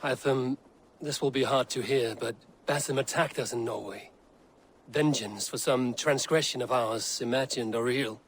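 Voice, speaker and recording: An actor playing a battle-hardened Viking warrior leader in a video game, speaking in a measured, sombre and plain way. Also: male voice, mid-range voice, slight background noise, natural voice. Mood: grave, regretful. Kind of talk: video game dialogue acting.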